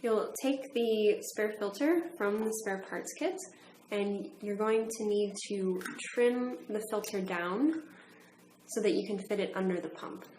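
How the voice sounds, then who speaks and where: Female instructor for a how-to training film, methodical step-by-step delivery, medium pitch, roomy echo with faint hum. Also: a little mellow. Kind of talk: instructional demonstration narration.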